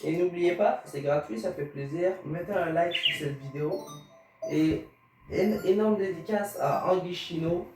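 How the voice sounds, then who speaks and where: A Canadian man with a Québécois accent talks slowly and haltingly over his gameplay, stammering through fillers. He sounds set back from the mic in a very echoey room. Music plays clearly behind him. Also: sits high. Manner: hesitant, stammering, many fillers. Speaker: gaming YouTuber. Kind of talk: casual gameplay commentary. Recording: roomy echo, distant mic, music behind.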